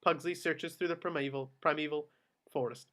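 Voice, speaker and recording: Male narrator, fairly high pitch, scripted screenplay action descriptions, table read delivery. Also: steady tone, moderate pace, bright, forceful tone.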